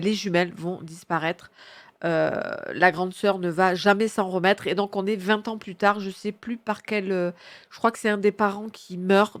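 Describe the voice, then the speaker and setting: A woman with a head cold gives casual, enthusiastic book recommendations in a slangy, rambling way, often correcting herself. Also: lowish voice. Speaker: book reviewer presenting her reading picks.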